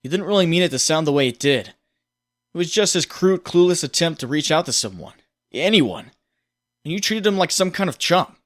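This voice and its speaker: A man's voice: on the high side, animated, a little fast, fairly bright tone. A man playing a young hero's brooding, guilt-ridden inner voice in a comic dub, sardonic and intense in second-person narration. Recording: long cavernous reverb.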